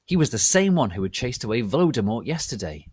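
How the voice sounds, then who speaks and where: A man giving a theatrical, deliberate dramatic reading of a story as its narrator. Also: medium pitch, very animated, a little mellow, slightly rough, no echo, studio quality. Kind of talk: dramatic reading of fan fiction.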